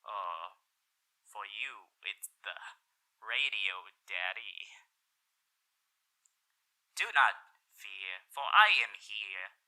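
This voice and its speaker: A man's voice: on the low side, expressive, drawn-out words, pauses often, sharp tone, full character voice, low-quality recording. A man voicing a suave, flirtatious radio demon with a theatrical showman's delivery in a roleplay audio for the listener, moving between bold exclamations and intimate murmurs. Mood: flirtatious, teasing, then grandly boastful. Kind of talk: character roleplay ASMR addressed to the listener.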